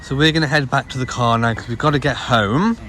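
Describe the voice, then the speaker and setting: A man's voice: mid-range voice, quick pace, bright, forceful tone. A man hosting a casual vlog, chatting to viewers and narrating what is around him. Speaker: vlog host. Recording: noisy handheld vlog with crowd and street sounds.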